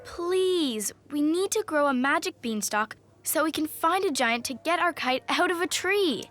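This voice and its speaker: A woman's voice: sits high, lively intonation, measured pace, a bit bright, a little hiss or hum. A woman voicing an earnest, plucky young animal in a children's cartoon, with an eager manner.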